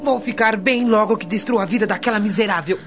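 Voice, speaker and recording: An actress playing a bitter, imperious, vengeful wealthy widow in a radio soap opera, cold and commanding. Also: female voice, medium pitch, fast talker, lightly acted. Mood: vengeful, demanding. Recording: dull lo-fi audio with music.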